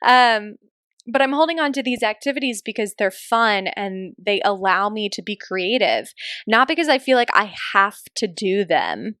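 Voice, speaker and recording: A woman's voice: medium pitch, animated, normal speed, fairly bright tone, no echo. Chatty woman podcaster, dry close sound. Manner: chatty, emphatic, informal. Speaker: podcast host, business coach. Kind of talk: casual solo podcast monologue.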